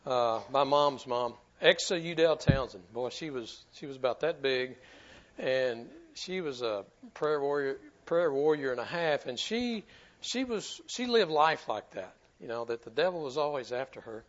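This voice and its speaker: A man's voice: medium pitch, animated, normal speed, highs cut off. A man preaching a sermon to his church in a folksy, repetitive way, often stumbling over his words. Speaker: pastor.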